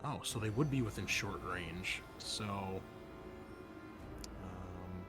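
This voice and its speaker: A man running a tabletop roleplaying game as game master, explaining rules in a halting way and thinking aloud. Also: sits low. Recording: loud background music. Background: music.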